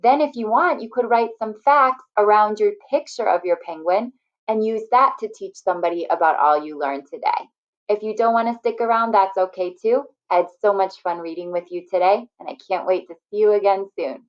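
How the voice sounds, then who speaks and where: A woman's voice: medium pitch, fairly quick, dull audio. A woman teacher giving a lesson to young children, encouraging them with simple, friendly explanations. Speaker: first grade teacher. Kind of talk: read-aloud lesson addressed to children.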